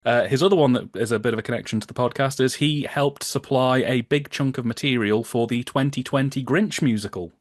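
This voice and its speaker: A man's voice: mid-range voice, fairly quick, few pauses, no room sound. British man, close dry sound, wry, chatty, informative, podcast guest explaining. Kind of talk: casual explanatory podcast chat.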